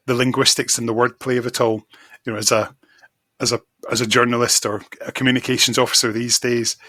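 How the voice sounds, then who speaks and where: Enthusiastic man with a bright, husky voice. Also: mid-range voice, no room sound. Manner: enthusiastic, effusive. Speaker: podcast guest, magazine editor. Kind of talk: casual chat, fan discussion.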